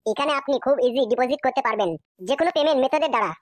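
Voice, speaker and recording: High-voiced, fast woman reading an ad. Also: no echo. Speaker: advertiser. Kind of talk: scripted promotional read.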